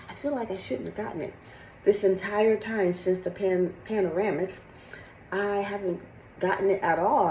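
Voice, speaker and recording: A middle-aged woman with a slightly raspy, congested voice, chatting candidly and casually in a rambling personal vlog. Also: lowish voice, warm tone, reverberant, slight background noise, poor audio. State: sick with congested cough. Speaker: fitness vlogger. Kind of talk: casual chat to camera, personal update.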